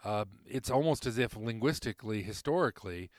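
A middle-aged man hosting a podcast alone, talking reflectively and haltingly, often repeating words and correcting himself. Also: on the low side, expressive, dry recording. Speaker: podcast host. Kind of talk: reflective solo podcast monologue.

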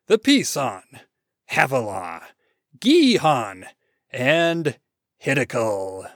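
Guy doing a big showy god for a story, grandiose, touchy, pitch all over the place. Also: big pitch swings, drawn-out words, dry recording, clean studio sound, full character voice. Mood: boastful. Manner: grandiose, showman-like. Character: mighty bearded God, boastful, theatrical, easily wounded. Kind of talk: short story read aloud.

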